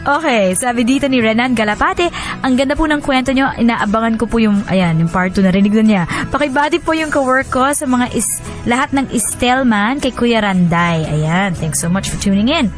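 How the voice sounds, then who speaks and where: A woman's voice: on the high side, moderate pace. A woman with a Filipino accent hosting radio, telling stories and giving advice expressively, with English phrases mixed in. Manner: expressive, switches between Tagalog and English phrases. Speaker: radio host reading listeners' letters. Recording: faint music bed.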